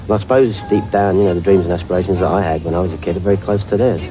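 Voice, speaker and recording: Low-voiced director from north London. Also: male voice, fairly even intonation, racing, music in the background, poor audio. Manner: reflective, rambling with restarts. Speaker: film director. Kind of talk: interview answers.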